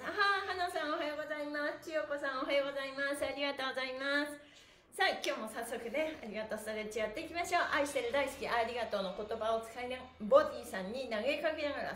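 A middle-aged woman teaches an exercise class in a high, swooping voice. She is polite, upbeat and encouraging, with a sing-song energy. The room around her is noticeably echoey. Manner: cheerful, polite, encouraging, often chuckling. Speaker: stretching instructor and livestream host. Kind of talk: livestreamed exercise class with chanted counts. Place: small indoor room, on an exercise mat. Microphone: camera a couple of metres away.

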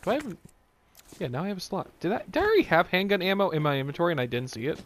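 Man, casual gamer commentary, lively pitch, jokey, sweary, faint background noise. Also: very fast. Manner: swearing, jokey asides to himself and the game. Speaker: video game player, host of a let's play. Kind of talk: casual let's play commentary.

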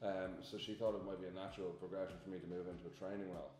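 A man with a very low voice speaks in a measured, composed way about his work. He is interviewed in an office where his voice bounces off the walls. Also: flat delivery, darkish tone. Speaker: training manager. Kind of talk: recruitment video interview. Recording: echoey office room.

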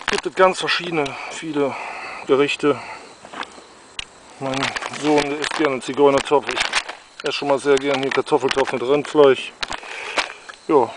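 A man in his thirties, a father and outdoor vlogger, casually explaining his food and gear to viewers outdoors by a river. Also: medium pitch. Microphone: handheld camera mic. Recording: noisy outdoor camera audio by a river. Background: rushing river water.

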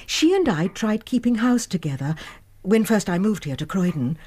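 A respectable Victorian spinster in a radio drama. Her voice is soft-edged and moves expressively in pitch, while her manner stays formal, measured and guarded. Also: expressive, slightly rough. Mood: aggrieved, reticent. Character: reserved respectable Victorian spinster sister, plain-spoken, guarded. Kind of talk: period radio drama acting.

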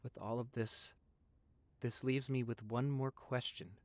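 A man playing a loving, sentimental vampire boyfriend in a romantic audio roleplay, speaking tenderly and haltingly with open emotion. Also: medium pitch, steady tone, no room sound, lightly acted. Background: background music.

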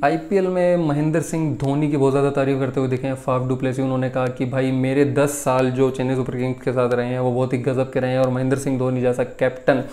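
A man in his twenties with an Indian accent talks to camera as a sports news host. His medium-pitched voice is soft-edged and dark in tone. He is conversational but emphatic, often repeating key points, and speaks from a small room at home. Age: 20s. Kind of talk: casual news rundown to camera.

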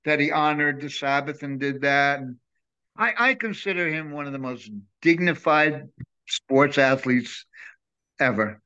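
An older man with a bright, forceful voice pitched a little high, talking freely as an interview guest. He rambles warmly and with enthusiasm. The sound is dull and lo-fi, like a laptop mic on a video call. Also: animated, a little slow, clear, resonant tone. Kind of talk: casual interview chat. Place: home room, video call.

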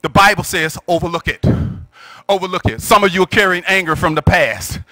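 American male pastor, middle-aged, very high pitch, piercingly bright and forceful, emphatic exhorting sermon delivery. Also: a little fast, no room sound, soft background music. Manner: emphatic, exhorting, often rising into shouts. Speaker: pastor preaching. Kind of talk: impassioned church sermon. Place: church sanctuary, at the pulpit. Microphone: gooseneck pulpit microphone.

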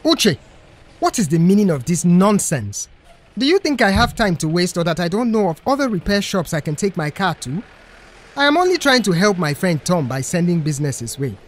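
A man's voice: sits high, sing-song, fairly quick, voice pushed up. A man voicing an angry middle-aged male customer in an audio story, indignant and haranguing. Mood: indignant, shouting.

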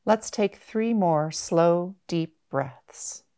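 A woman guiding a meditation in a gentle, measured manner, with long pauses between short phrases. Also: fairly low pitch, lively intonation, clean tone. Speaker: mindfulness meditation guide. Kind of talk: guided body-scan meditation.

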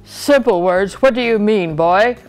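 A woman's voice: medium pitch, unhurried, darkish tone, faint music behind, natural voice. A woman voicing a proud, imperious old king in a storybook, given to blustering and groaning. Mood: indignant bellowing. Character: proud bellowing old king turned tearful and helpless.

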